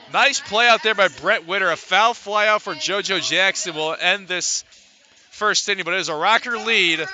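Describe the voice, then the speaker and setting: An American man gives live baseball play-by-play for radio. His voice sits slightly high and is very bright and forceful. He works through player statistics between calls of each pitch. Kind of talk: live sports commentary.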